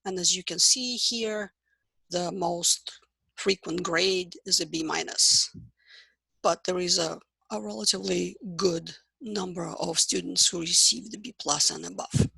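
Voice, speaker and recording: A middle-aged woman from Russia with a Russian accent, a psychology professor giving a measured, explanatory course introduction with occasional fillers. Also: lowish voice, vocal fry, sharp tone, breathy edge, no echo, low-quality recording.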